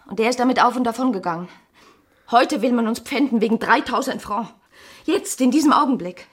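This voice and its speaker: Desperate ruined wife in a radio play, husky breathy voice, intense, pleading with her old lover. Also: fairly high pitch, a little fast. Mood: urgent pleading. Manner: theatrical, intense, swinging between whisper and outburst. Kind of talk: radio drama acting.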